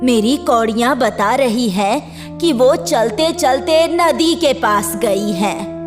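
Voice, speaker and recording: A woman's voice: on the high side, expressive, takes it slowly, over music. A woman voicing a cunning, self-assured village fortune-teller aunt in a cartoon story.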